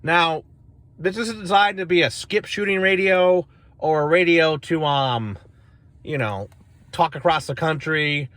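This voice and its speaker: A man's voice: on the high side, measured pace, a bit bright, dry recording. Rambling, plain-spoken American man. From northern United States. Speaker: CB radio enthusiast reviewing gear. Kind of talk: casual off-the-cuff product demonstration. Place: inside a parked car.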